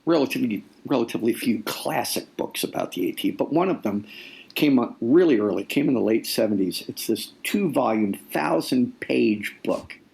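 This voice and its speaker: A man speaking as an author guest in a conversational interview, explaining deliberately and repeating phrases for weight. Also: medium pitch, expressive, creaky, darkish tone. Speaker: nonfiction author, podcast guest.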